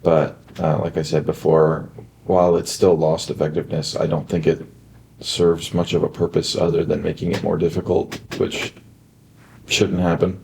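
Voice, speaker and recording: Very deep, gravelly young man. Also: little pitch movement, normal speed, a little mellow, slight background noise. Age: 20s. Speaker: interviewee, student. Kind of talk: casual opinion interview.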